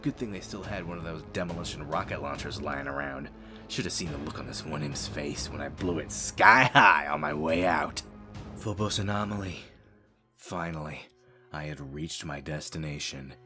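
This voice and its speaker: A man's voice: very deep voice, normal speed, pushed and bright, soft background music. A man playing a grim, wry space marine who narrates his battles in a sardonic, hard-boiled audio drama style. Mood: grave, ominous. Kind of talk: scripted first-person audio drama narration.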